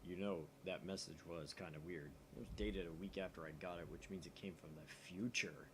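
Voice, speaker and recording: Man doing a low, skeptical young buddy. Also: fairly even intonation, brisk, background noise, natural voice. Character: laid-back, skeptical young male friend of the scientist.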